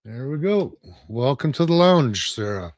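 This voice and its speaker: A man's voice: mid-range voice, big pitch swings, a little slow, dry recording. Man hosting, close dry sound. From Canada. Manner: loose, chatty, conspiratorial. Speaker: podcast co-host. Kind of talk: casual talk show hosting.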